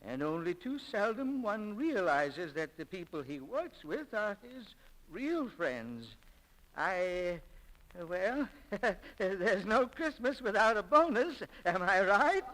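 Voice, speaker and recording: An actor playing a kindly, sentimental older shop owner in a 1940s radio drama, speaking haltingly and with feeling. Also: male voice, high voice, very animated, a bit bright, full character voice, rough recording. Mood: moved, grateful. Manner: halting, emotional, chuckling speech. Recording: vintage 1940s radio recording.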